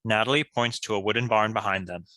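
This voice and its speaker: Male script narrator, low-pitched yet very bright and forceful, reading stage directions. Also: fast, no echo.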